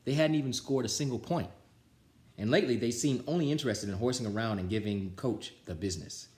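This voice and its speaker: A man reading a children's picture book aloud with an animated, theatrical delivery. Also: mid-range voice, fairly quick. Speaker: storytime reader. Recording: roomy, echoing sound.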